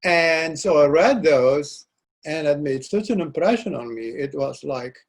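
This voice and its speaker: An older man whose first language is Dutch, a poet being interviewed, speaking reflectively and pausing often to search for words. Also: a little high, expressive. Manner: halting, searching for words, frequent restarts. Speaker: poet guest.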